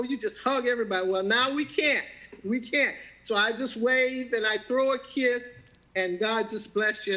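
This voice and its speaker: A woman in her seventies with a Southern American accent, sharing a personal testimony in a halting way, often repeating words and laughing easily. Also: mid-range voice, fairly bright tone. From Southern United States. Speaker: guest.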